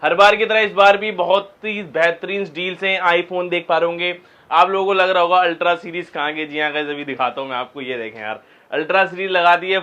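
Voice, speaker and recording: Fast bright-voiced vlog host. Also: male voice, high-pitched. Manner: upbeat hype host who mixes English words into Hindi. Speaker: vlogger host. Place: small phone shop. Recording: dull lo-fi sound.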